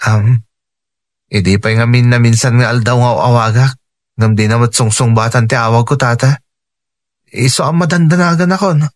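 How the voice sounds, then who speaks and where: A man's voice: medium pitch, moderate pace, pauses often, close to an everyday voice. A man with a Filipino accent acting an anxious, fretting man in a radio drama. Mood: worried.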